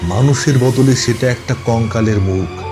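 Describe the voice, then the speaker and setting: A man's voice: medium pitch, moderate pace, over loud music. A man reading a scripted ghost story as its narrator.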